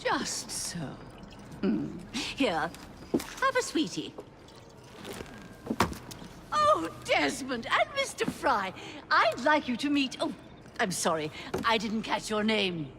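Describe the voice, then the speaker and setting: A woman's voice: fairly high pitch, huge pitch swings, takes it slowly, pauses often, background noise, full character voice. An actress playing a genteel, imperious and playful older Victorian lady in a video game, with an upper-class English accent and a grand, fluting manner. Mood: kindly. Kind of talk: theatrical period video game acting.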